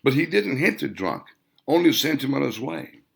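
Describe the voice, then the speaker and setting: Male, audiobook narrator, gravelly fry, very animated intonation, dramatic emphatic phrasing, scripted fiction reading. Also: mid-range voice, moderate pace. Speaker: author reading own novel.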